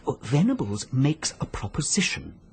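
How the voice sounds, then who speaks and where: A man's voice: mid-range voice, huge pitch swings, moderate pace, a little mellow, a little hiss or hum. A male actor with a British accent playing a fussy, obstinate busybody in a radio drama, hesitant, prim and over-polite. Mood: insistent. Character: fussy, apologetic, obstinate little busybody gentleman.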